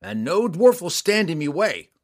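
A man's voice: fairly high pitch, big pitch swings, voice pushed up. Man doing a growling surly mountain man. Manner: slow, growling and sneering. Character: surly male mountain man bounty hunter.

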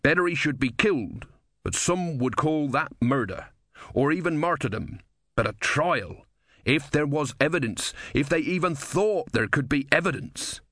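An insistent young-hearted soldier played by a man, eager and calculating. His speech is lively and raised a little, as a storybook character. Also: on the high side, moderate pace, no room sound, studio quality, voice pushed up. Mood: musing, calculating. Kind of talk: audiobook character voice.

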